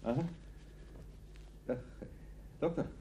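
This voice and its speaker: An actor playing a wary, uneasy army major who is a psychiatric patient in a radio play. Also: male voice, takes it slowly, warm tone, close to an everyday voice. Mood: uneasy, hesitant. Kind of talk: radio drama acting.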